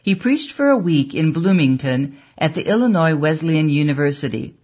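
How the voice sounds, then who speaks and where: An audiobook narrator reading a story in a measured, even way, on a dull, lo-fi recording. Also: medium pitch. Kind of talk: scripted narration.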